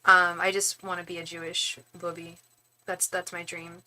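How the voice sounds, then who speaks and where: Young woman, tarot reader, bright tense voice, rambling casual live-stream chatter, talks to viewers. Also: on the low side, level tone, normal speed, rough recording.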